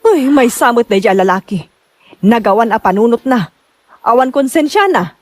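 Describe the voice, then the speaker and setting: A woman's voice: on the high side, expressive, moderate pace, darkish tone, no room sound, close to an everyday voice. A woman with an Ilocano accent playing a concerned, outspoken confidante in a melodramatic drama, in an earnest, scolding manner. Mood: indignant. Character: concerned, outspoken woman advising her loved ones. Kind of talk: amateur melodramatic drama acting.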